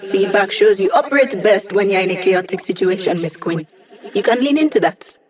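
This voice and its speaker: A woman's voice: mid-range voice, animated, a little fast, crowd noise, close to an everyday voice. A woman voice actor playing an upbeat, wisecracking young woman hacker in a video game, casual, playful and teasing. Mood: casual, helpful.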